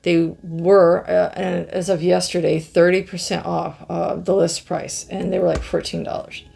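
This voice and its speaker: A middle-aged woman with a hoarse, scratchy voice, chatting slowly to viewers as she reviews art pens and marvels at their colors. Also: fairly low pitch, flat delivery, mellow. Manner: slow, musing, easily amazed, talks to viewers. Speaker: craft channel host. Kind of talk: casual product review chat.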